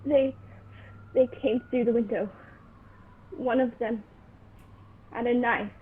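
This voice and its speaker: Slow, frightened woman spy acted by a woman. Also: a little high, fairly even intonation, slightly rough. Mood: weak, desperate. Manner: halting, dramatic, deliberate. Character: frightened, secretive woman spy, later gravely wounded. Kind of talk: old-time radio play acting. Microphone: video call. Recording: muffled, noisy video call audio.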